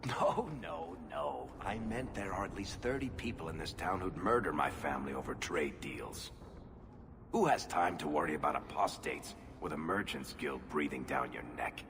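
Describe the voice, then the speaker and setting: A wry dwarf merchant. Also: male voice, extremely low pitch, animated, moderate pace, background noise. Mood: amused. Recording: game audio with music, reverb.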